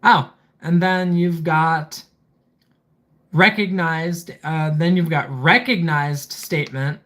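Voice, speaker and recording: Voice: medium pitch, steady tone, slow, a bit bright. A reviewer hosting a casual live product walkthrough, methodically reading items out and commenting on them. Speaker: livestream host reviewing gadgets.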